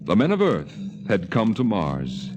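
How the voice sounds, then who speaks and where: A man's voice: lowish voice, huge pitch swings, unhurried, noisy background. A man narrating a 1950s radio drama in a solemn, lyrical, dramatic manner. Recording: old radio recording with music.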